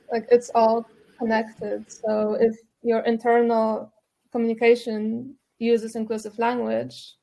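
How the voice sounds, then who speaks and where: A woman whose first language is Polish speaks on a panel, thinking aloud with many fillers. Her voice is gravelly and dark, pitched a bit high for a woman. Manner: thoughtful, loose with fillers. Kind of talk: panel discussion.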